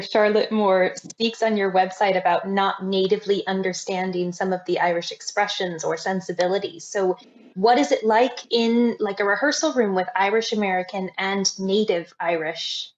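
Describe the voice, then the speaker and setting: A woman's voice: mid-range voice, steady tone, normal speed. A woman hosting an interview, asking long questions in a casual way. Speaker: interviewer host. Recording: dull lo-fi audio.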